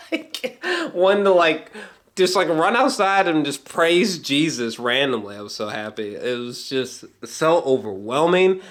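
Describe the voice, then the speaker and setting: A man's voice: a little high, fairly bright tone. A man chatting casually as an amateur film reviewer, geeky and gushing, swearing freely and often stumbling back over his words. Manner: halting, repeats himself, often breaks into chuckles.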